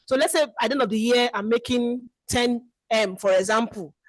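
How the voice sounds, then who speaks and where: A woman's voice: on the high side, moderate pace, no room sound. Woman asking an earnest question. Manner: earnest, talks it through at length. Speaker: webinar host asking a question. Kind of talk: asking a question in an online session. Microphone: separate mic.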